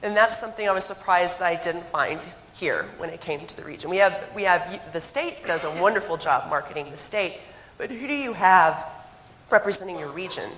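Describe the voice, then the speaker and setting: A hoarse woman, still getting over a cold, presents to a conference crowd. Her voice sits on the lower side, and she keeps turning to the audience with direct questions. A long hall reverb trails every phrase. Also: a bit bright, slight background noise. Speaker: economic development consultant presenting.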